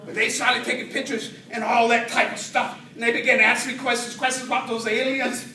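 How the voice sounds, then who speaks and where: A man with a very high, bright voice performs a comic tall tale as a speech. He draws words out theatrically, with dramatic pauses and swells. The recording is very roomy and picked up from a distance. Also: little pitch movement. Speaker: speech contest storyteller at a Toastmasters club. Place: indoor room with an audience. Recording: roomy, distant mic, faint noise.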